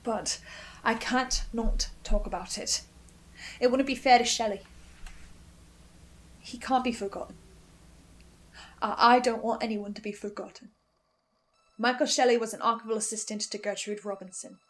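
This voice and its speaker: A woman hosting a scripted lore analysis, speaking in a dramatic, halting, questioning way with theatrical asides. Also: a little high, fairly even intonation. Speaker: host. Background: faint music.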